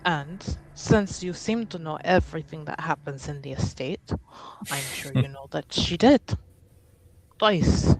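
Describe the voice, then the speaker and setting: A tabletop roleplaying player speaking in character with a halting, earnest delivery. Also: mid-range voice, slight background noise, low-quality recording.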